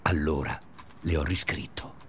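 An actor playing an obsessive, restless male schoolteacher in a confessional radio drama monologue, speaking deliberately in short phrases. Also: rumbling low, fairly even intonation, a bit bright, husky, full character voice. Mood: tense. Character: obsessive, restless male schoolteacher narrating his sleepless days.

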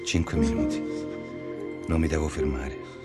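Very deep-voiced exhausted runner. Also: male voice, steady tone, a little slow. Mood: breathless, driven. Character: exhausted sweaty male runner urging himself homeward. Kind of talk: rhythmic experimental radio drama. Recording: loud music under the voice.

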